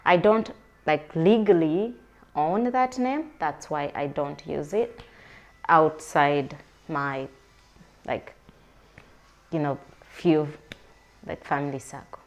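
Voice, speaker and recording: A woman's voice: sits low, takes it slowly, pauses often. A woman from Uganda, chatting casually to camera as she answers viewer questions, rambling with many fillers. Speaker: vlogger.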